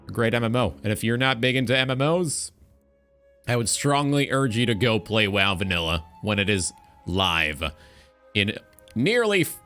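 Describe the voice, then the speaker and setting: A man's voice: lowish voice, a bit bright. A man hosting a live stream in a casual, informal talk show style, swearing freely.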